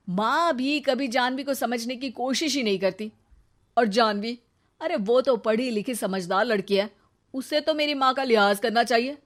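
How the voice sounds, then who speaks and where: A woman's voice: sits high, fairly bright tone. A woman voicing an exasperated young married man who complains wearily about the fighting at home. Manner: weary, complaining inner monologue. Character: exasperated young married man caught between mother and wife. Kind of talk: cartoon story voice acting.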